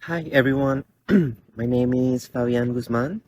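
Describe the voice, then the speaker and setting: A young man in his twenties from Costa Rica gives an earnest, halting personal statement with many fillers and restarts, standing in a large indoor theater. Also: mid-range voice, a little mellow, a little hiss or hum. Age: 20s. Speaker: college student.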